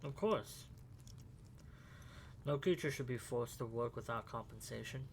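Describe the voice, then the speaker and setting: A thoughtful young wizard student, acted by a man, in a medium-pitched voice with faint noise behind it. He is calm, measured and principled, in audio drama style. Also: lightly acted. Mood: earnest. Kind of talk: fan audio drama acting.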